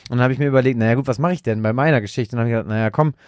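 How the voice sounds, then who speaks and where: A man's voice: medium pitch, rapid-fire, darkish tone, dry recording, studio quality. A man chatting casually as a podcast co-host. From Germany. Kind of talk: casual chat.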